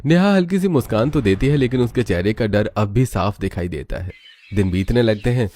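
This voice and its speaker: A man's voice: on the low side, animated, fairly quick, warm tone, slight background noise. A man narrating a scripted family drama story in a dramatic storytelling style.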